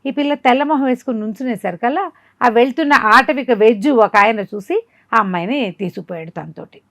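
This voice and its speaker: A woman's voice: a little high, a little fast, no room sound, clean studio sound. A woman telling a folk tale conversationally to a listener, acting it out as she goes. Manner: animated retelling, acting out quoted dialogue. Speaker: storyteller.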